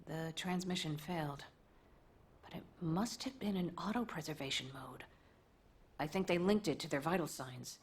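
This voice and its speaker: A woman portrays a capable female squad veteran from a video game. She has a low-pitched voice and an earnest, sombre delivery. Faint ambient noise sits behind her. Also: moderate pace, lightly acted. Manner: serious, earnest.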